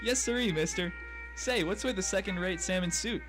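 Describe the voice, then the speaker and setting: Man playing a high-pitched, brash old-timey tough guy. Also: normal speed, close to an everyday voice. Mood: cocky, chatty. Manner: theatrical, old-timey slang. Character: brash, folksy old-timey American tough guys, gangster and cabbie. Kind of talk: noir radio drama acting. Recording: music bed behind voice.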